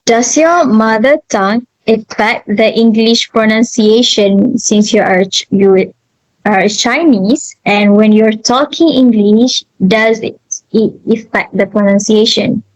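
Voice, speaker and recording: A woman interviewing a fellow student, asking questions slowly and hesitantly. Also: a little high, no room sound, low-quality recording. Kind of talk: class interview.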